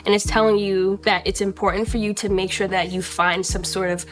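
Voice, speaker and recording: A woman's voice: medium pitch, little pitch movement, fairly quick. A woman hosting as a tarot reader, explaining things conversationally and addressing the listener directly.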